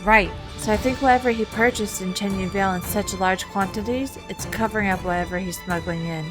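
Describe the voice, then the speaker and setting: A woman's voice: medium pitch, a little fast, over music, natural voice, rough recording. A woman voicing a determined, hot-tempered young adventurer in a game story, speaking insistently and with exasperation.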